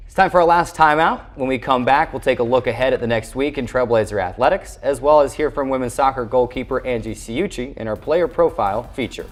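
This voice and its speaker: A man's voice: medium pitch, a little fast, few pauses. A man hosting a sports show, interviewing in a conversational style.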